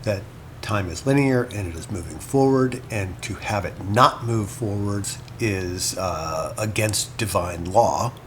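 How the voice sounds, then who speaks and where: Male lecturer, played by an actor: thoughtful scholar, deliberate and slow, halting, informal, audible background noise. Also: on the low side, lightly acted. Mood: musing, earnest. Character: male academic lecturer on ancient literature, musing, earnest. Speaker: lecturer and podcast host.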